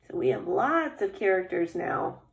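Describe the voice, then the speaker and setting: A woman's voice: medium pitch, big pitch swings, a little slow, gravelly. A woman teacher reading a children's story aloud expressively and explaining it simply with questions. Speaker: kindergarten teacher. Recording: echoey room.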